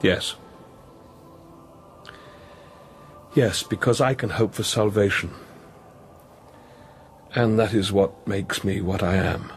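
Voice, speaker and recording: Weary, guilt-laden priest, radio drama. Lowish male voice, hesitant and brooding, naturalistic delivery. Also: a little slow, slight background noise. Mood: grave, resigned. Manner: hesitant, reflective.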